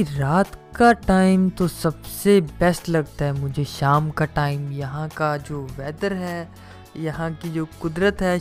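A man's voice: fairly high pitch, darkish tone. Male travel video host over faint music.

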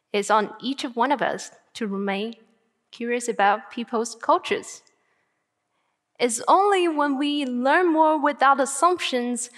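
Chinese-accented young woman giving a stage talk. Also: fairly high pitch. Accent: Mandarin Chinese. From northern China. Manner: earnest, deliberate with slow emphatic phrases. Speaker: student speaker. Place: large auditorium stage. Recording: long hall reverb.

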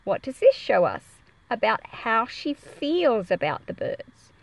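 Aussie woman doing a kids picture book readaloud, animated, explains stuff and asks questions, highish voice. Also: slow, creaky, no room sound, rough recording. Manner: expressive, explanatory, addressing young listeners with questions. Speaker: read-aloud presenter teaching children. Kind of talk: picture book read-aloud with explanations for children.

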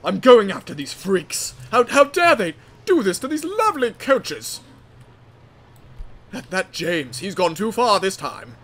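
A man's voice: high voice, big pitch swings, unhurried, fairly bright tone, exaggerated voice. A man voicing a prissy, indignant talking engine in a comic game roleplay. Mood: outraged.

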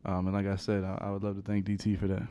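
A young man, a college basketball player, answering questions at a post-game press conference in a halting, soft-spoken way with many fillers, into a table microphone. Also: low-pitched, monotone, dull audio. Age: young adult. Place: press conference room with sponsor backdrop.

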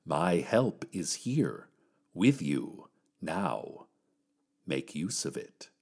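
A man voices an ancient-sounding keeper of a mystical stairway in an audio drama. The voice is very low and unhurried, with formal, ominous phrasing that rises and falls widely. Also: very animated, vocal fry, a little mellow, breathy edge, heavily acted. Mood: grave warning. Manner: slow, formal, ominous warnings. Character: solemn, cryptic keeper of a magical stairway. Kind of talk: scripted audio-fiction monologue.

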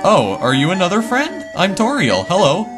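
Dude doing a soft motherly goat lady, kind and polite, music behind. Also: high-pitched, huge pitch swings, moderate pace, pitched above the performer's own voice. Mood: gentle. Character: gentle motherly goat-woman queen.

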